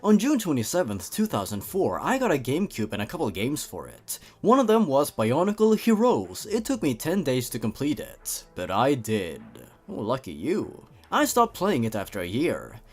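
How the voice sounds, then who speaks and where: A man's voice: mid-range voice, huge pitch swings, normal speed, few pauses, slightly rough, faint music behind. A man hosting a comedic reading, moving between dramatic narration and wry, sarcastic asides with theatrical emphasis. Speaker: comedy video host reading and commenting on horror fan fiction. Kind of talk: comedic commentary mixed with dramatic story read-aloud.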